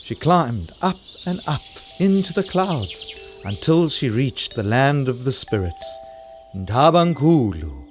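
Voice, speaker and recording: A man's voice: mid-range voice, very animated, takes it slowly, faint music behind. A man with a South African accent narrating an African folktale for children as a storyteller. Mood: storytelling.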